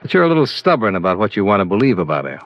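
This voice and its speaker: An actor in a 1950s radio drama playing a blunt, hard-boiled insurance investigator who talks in a terse, matter-of-fact way. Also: male voice, on the low side, sing-song, racing, no echo. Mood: firm, businesslike. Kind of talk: 1950s radio detective acting. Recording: dull vintage radio recording.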